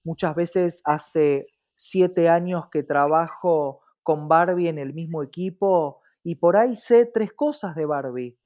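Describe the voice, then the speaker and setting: A man's voice: on the high side, slow, few pauses, a little mellow. A man with an Argentine accent talking reflectively in a conversational interview, often checking in with short tag questions.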